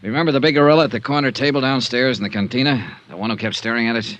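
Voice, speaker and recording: A man's voice: mid-range voice, very fast, a bit bright, no room sound, lightly acted. A man playing a wisecracking, hardboiled insurance investigator in an old-time radio detective drama, both in dialogue and first-person narration. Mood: breezy, flirtatious. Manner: breezy, sardonic wisecracks.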